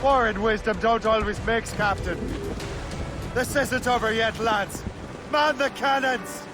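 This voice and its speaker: An actor playing a bold, wry young sea captain in a video game, commanding and clipped. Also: male voice, very high-pitched, measured pace. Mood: resolute. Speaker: ship captain. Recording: loud game music and sea surf behind.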